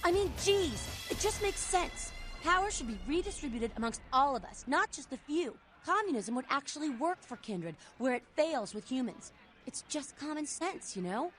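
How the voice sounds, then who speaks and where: An actress playing a fiery, idealistic vampire rebel in a video game, passionate, cocky and preachy. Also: female voice, high voice, sing-song, normal speed, a bit bright. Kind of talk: video game dialogue acting. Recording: background music under the voice.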